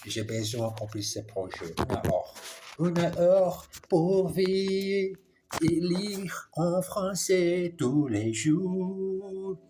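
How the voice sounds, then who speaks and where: A man records himself on his phone as he learns French. He speaks slowly at a middle pitch, often stopping to repeat and correct himself as he works through lines of dialogue. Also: darkish tone, a little hiss or hum. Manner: halting, repeats and self-corrects, reads dialogue lines aloud. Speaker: language learner. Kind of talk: casual language practice vlog. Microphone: phone camera.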